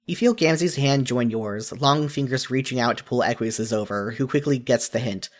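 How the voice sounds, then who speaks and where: A man narrating a scripted story in the second person with an expressive storytelling delivery. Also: medium pitch, moderate pace.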